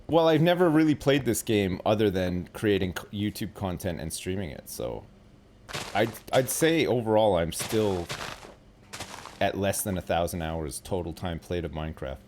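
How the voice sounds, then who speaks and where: Low-voiced rambling man in his forties. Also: expressive, normal speed, background noise. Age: 40s. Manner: rambling, filler-heavy, self-deprecating. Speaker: streamer host. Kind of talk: casual gaming stream chat.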